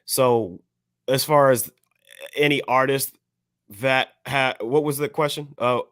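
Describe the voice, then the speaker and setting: A man's voice: medium pitch, fairly even intonation, slow, a bit bright, no room sound, clean studio sound. A man on a podcast panel giving opinions in casual discussion, picking his words carefully with hesitant phrasing.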